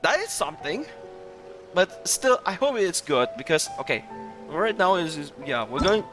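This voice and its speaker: A man talks casually through his game playthrough with music behind him. His pitch moves around a lot, and he rambles and halts, restarting thoughts and chuckling between phrases. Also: sing-song, normal speed. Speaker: gaming streamer.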